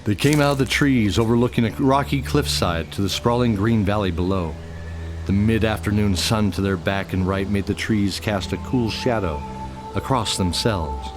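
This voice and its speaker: A man's voice: on the low side, moderate pace. A man narrating a scripted fantasy radio drama as its storyteller, with music underneath.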